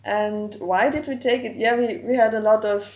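A woman's voice: fairly high pitch, fairly even intonation, moderate pace, reverberant, dull audio. A woman whose first language is German, a podcast guest carefully explaining word forms in English. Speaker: guest, language project co-creator. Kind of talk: explaining grammar in a podcast interview.

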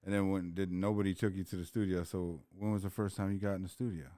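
A man hosting a casual interview podcast, easygoing and encouraging, quick to laugh. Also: low-pitched, little pitch movement, warm tone. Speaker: podcast host.

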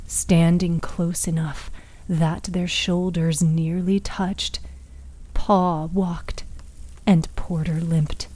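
A woman narrating a dark story in a hushed, breathy, suspenseful, dramatic manner. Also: fairly low pitch, rough recording. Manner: slow, hushed, suspenseful delivery. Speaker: narrator and author of an audio fiction podcast.

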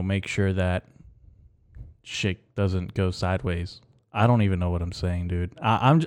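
A man born in Mexico hosting a podcast from his bedroom, rambling through absurd dark jokes in a straight, deadpan delivery with many ums. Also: low voice, clear, resonant tone. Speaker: podcast host. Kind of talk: rambling deadpan comedic monologue.

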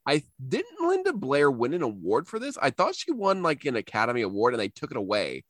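Man, podcast co-host, wry riffing banter, average pitch, loose conversational delivery, dry close sound. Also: wildly swooping pitch, brisk, fairly bright tone, dry recording. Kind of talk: casual joking podcast banter.

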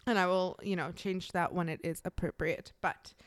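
A young woman from the Midwest, co-hosting a podcast in casual, giggly chat with quick asides. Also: lowish voice, fairly even intonation, no echo, clean studio sound. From American Midwest. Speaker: podcast co-host.

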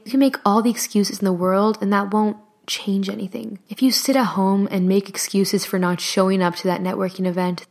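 Solo podcast host, young woman, passionate and encouraging, a touch hoarse, speaking into a studio mic. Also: mid-range voice. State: voice slightly hoarse. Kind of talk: motivational solo podcast talk. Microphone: studio microphone.

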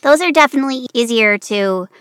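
A giggly woman in her thirties with a fairly high voice chats in a bubbly, easygoing way. Her voice sounds dry and close, with no room around it. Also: lively intonation, a little fast, fairly bright tone, clear, resonant tone, no room sound. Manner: giggly, bubbly, acts out quotes. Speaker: voice actor and interviewer. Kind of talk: casual conversation.

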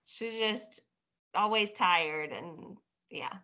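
Slow-talking bright-voiced woman in her forties. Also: medium pitch. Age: 40s. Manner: unhurried, reflective, many pauses and fillers. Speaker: podcast guest. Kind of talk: casual chat.

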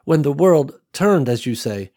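A man's voice: on the high side, expressive, unhurried, mellow, voice pushed up. A man voicing a young woman who speaks earnestly and a bit hesitantly about being a reluctant leader.

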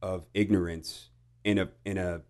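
Low-pitched American man, halting and reflective. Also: steady tone, a little mellow. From United States. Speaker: podcast guest. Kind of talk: casual conversational storytelling.

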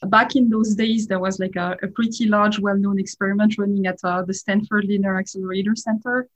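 A woman's voice: mid-range voice, fairly even intonation, a little fast. A woman in her forties with a French accent, a startup founder giving an interview and rambling with many fillers. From France. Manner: rambling, heavy use of "like" and "uh". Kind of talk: casual interview talk. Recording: dull, lo-fi sound.

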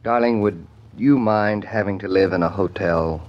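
A low-pitched husband, played by an actor, speaks affectionately but gravely, in measured, hesitant phrases. The style is old-time radio comedy-drama. The recording is dull and lo-fi. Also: male voice, lightly acted. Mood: grave, coaxing. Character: deliberate, affectionate husband breaking news gravely.

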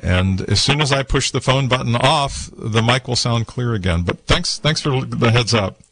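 A man hosting a casual call-in tech show from a small radio studio, talking in a relaxed, rambling way with lots of fillers and restarts. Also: medium pitch, normal speed, no echo. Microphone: studio microphone with headphones.

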